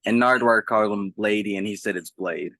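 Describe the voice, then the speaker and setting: A man's voice: on the low side, a little fast, a bit bright, no echo. A man working as an interviewer, asking questions in a conversational way.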